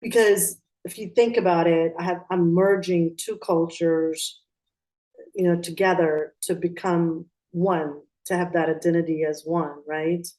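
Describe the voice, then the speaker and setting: A woman interview guest who was born in Pakistan and raised in Atlanta. She talks in a reflective way, circling back and restarting as she thinks, in a fairly low voice. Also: fairly even intonation, unhurried, dark tone, low-quality recording. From Pakistan, raised in Atlanta, Georgia. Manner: reflective, with frequent restarts and fillers. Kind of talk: conversational interview.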